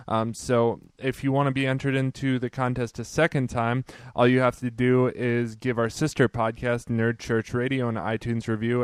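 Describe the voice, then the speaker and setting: A man's voice: mid-range voice, clean tone, no room sound, studio quality. A man in his thirties hosting a podcast, talking loosely with lots of "you know" and "um". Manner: relaxed, with many fillers like "you know". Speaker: podcast host. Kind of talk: casual podcast intro and interview.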